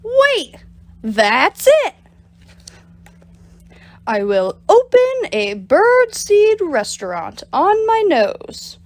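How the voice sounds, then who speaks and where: A woman's voice: extremely high pitch, wildly swooping pitch, very slow, pauses often, voice pushed up, full character voice. A woman voicing a lazy, hungry, scheming male alligator from a storybook, pleading and boastful in an exaggerated way. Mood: excited scheming.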